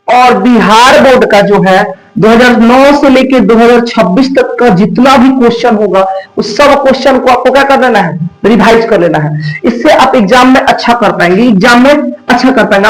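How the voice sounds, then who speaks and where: Very high-pitched Bihari man teaching, echoey room. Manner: repeats key phrases and asks then answers own questions. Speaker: exam coaching teacher. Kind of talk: instructional explanation to students.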